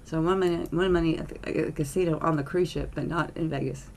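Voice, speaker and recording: A woman's voice: sits low, fairly even intonation, fast, darkish tone, a little hiss or hum. A middle-aged woman co-hosting a casual chat show, rambling and laughing often as she talks. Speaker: co-host.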